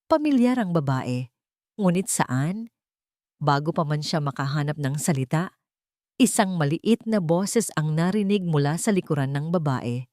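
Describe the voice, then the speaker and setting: A woman with a Filipino accent reading scripted, dramatic love-story narration in a measured storytelling manner. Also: low-pitched, expressive, a little mellow, no echo, studio quality.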